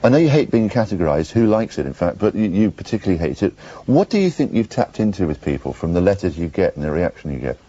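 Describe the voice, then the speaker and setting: A man's voice: deep voice, sing-song, fast, few pauses, mellow, husky. A man interviewing on morning TV, playful and chatty with theatrical asides. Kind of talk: breakfast TV interview. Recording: dull lo-fi broadcast tape.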